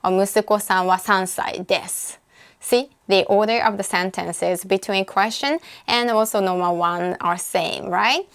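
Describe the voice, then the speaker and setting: A Japanese-accented woman talks through a language app lesson in a relaxed, unscripted way. Her voice is smooth and clean at a middle pitch. She pauses with frequent fillers and corrects herself as she goes. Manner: thinks aloud with frequent uh and self-corrections. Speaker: language tutor. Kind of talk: casual screen-recorded app walkthrough.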